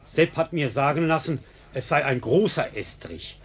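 An actor playing a falsely polite, mock-reasonable arsonist in a German radio play, with an ingratiating manner. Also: male voice, a little high, normal speed, breathy edge. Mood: mock reproachful.

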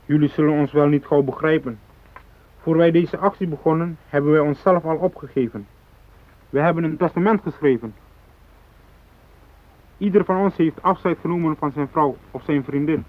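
An actor in a radio drama playing a fanatical, unyielding hijacker who speaks in cold, threatening declarations. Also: male voice, on the high side, normal speed, pauses often, close to an everyday voice. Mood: grim conviction. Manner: declarative, threatening, ideological speechmaking. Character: fanatical South Moluccan hijacker, unyielding, cold, righteous militant. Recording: thin phone-like radio drama recording.

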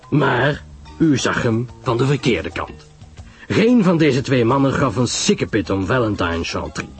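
A man voicing a grave, self-assured older detective who explains his reasoning in a measured, emphatic way, in a radio story. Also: medium pitch. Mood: confident explaining.

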